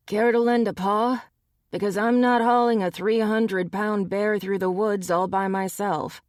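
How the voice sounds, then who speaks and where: A woman's voice: mid-range voice, fairly even intonation, unhurried, darkish tone, no echo, pitched above the performer's own voice, natural voice. A woman voicing a tired, wry adult male shifter in an audiobook, with dry humor.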